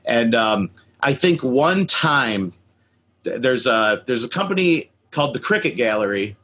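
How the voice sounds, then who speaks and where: A man's voice: mid-range voice, animated, unhurried, fairly bright tone. Enthusiastic man in a casual interview. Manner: enthusiastic, slangy, chuckling. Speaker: podcast host and cartoon collector.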